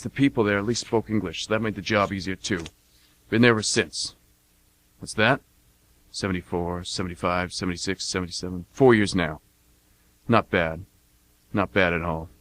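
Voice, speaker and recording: Man playing a deep-voiced, folksy mercenary veteran. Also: moderate pace, pauses often, a bit bright, poor audio. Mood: matter-of-fact, boastful. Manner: folksy, plain-spoken, cocky. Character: gruff, blunt, self-justifying American mercenary war veteran. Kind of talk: amateur radio play monologue.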